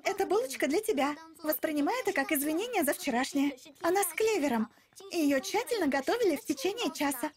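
A woman voicing a gentle, earnest teenage girl in an animated series dub. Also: high-pitched, fast talker, a crowd in the background. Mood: apologetic.

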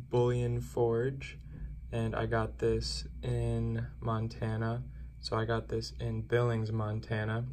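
A young man chatting casually as a coin-collecting vlogger, relaxed and rambling with filler words, filmed on a phone. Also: lowish voice, slight background noise. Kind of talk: casual vlog chat. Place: car, then indoors at a desk.

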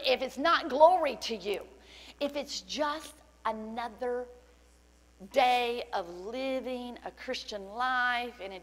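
A middle-aged woman pastor preaching a sermon with fervent praise and scripture reading, her voice slightly cracking from loudness. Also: fairly high pitch. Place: large church stage indoors. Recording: long hall reverb, faint hum.

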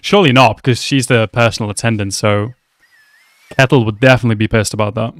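A man's voice: medium pitch, fairly even intonation, fast, no room sound. A young man casually reacting to an anime episode, chatty and swearing a lot, calling the characters bro. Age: young adult. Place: small room at home. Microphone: headset mic.

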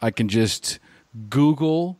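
A male podcast host with a lively, rising and falling voice, quick to affirm his guest with "absolutely." The recording is close and dry, with no room sound. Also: medium pitch, dry recording. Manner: affirming, animated, frequent "absolutely". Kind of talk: supportive interview hosting. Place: based in Costa Rica.

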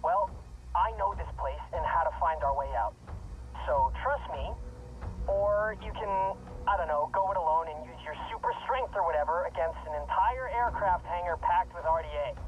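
An actor playing a glib, self-justifying young escape helper in a video game, talking in a wry, casual, hurried way. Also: mid-range voice, lo-fi sound, natural voice. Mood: defensive, flippant. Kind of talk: naturalistic game cutscene acting.

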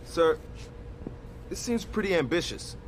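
Animated, doubtful army major. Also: male voice, a little high, unhurried, noisy background. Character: doubtful, respectful army major questioning orders.

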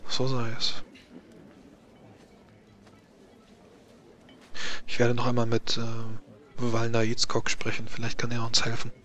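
Man playing a grave, even-toned court mage. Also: medium pitch, close to an everyday voice. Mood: formal, resolved. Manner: deliberate, halting, formal explanations. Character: grave, worried male court mage, formal advisor. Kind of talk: tabletop roleplay in character.

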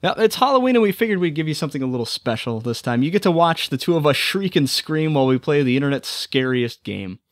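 Lively teasing man with dry wisecracks. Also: a little high, fast, hardly any pauses, no room sound. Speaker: let's play co-host. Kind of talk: casual gameplay commentary.

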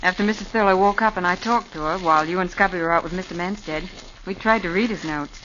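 A woman playing a practical, steady assistant. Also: fairly low pitch, fast talker, natural voice. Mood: matter-of-fact. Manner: matter-of-fact, reassuring. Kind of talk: 1940s radio acting. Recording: dull old radio recording with faint hiss.

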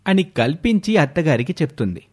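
A man delivering scripted narration as the narrator of an animated moral story. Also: fairly high pitch, fairly quick, breathy edge.

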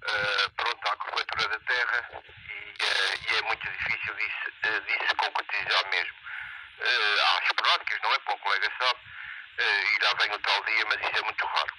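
Guy on ham radio, courteous, repeats himself a bit, clearly noisy radio speaker. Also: fairly low pitch, fairly even intonation, normal speed, pushed and bright. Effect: two-way radio transmission. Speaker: amateur radio operator. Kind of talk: casual radio contact chat.

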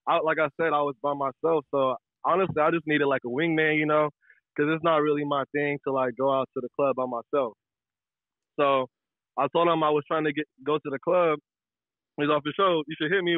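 Muffled, high-voiced American man calling in. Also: fairly even intonation, a little fast, dry recording. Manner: slangy, profane. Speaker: caller. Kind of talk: casual personal storytelling.

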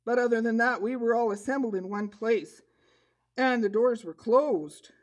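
A woman voicing an earnest disciple puppet, telling a story to children in a deliberate manner. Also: a little high, darkish tone, natural voice. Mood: earnest awe. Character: earnest disciple puppet recounting Jesus' return.

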